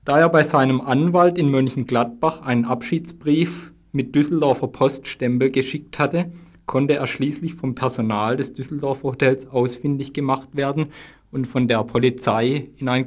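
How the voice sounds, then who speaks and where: A male radio presenter with an ordinary mid-pitched voice reads a satirical news commentary. His delivery is scripted and deadpan, with dry irony. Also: moderate pace.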